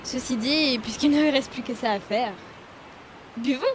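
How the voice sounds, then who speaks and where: An actress playing a haughty, sharp-tongued young woman who mocks a pirate in a comic audio drama. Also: high-pitched, measured pace, soft background music. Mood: scornful. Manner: scornful and sarcastic.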